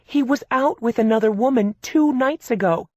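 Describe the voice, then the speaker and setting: Exasperated older sister, loud and raised, read by a female narrator, dry close mic. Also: on the high side, normal speed, no echo, voice pushed up, lightly acted. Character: furious, protective older sister.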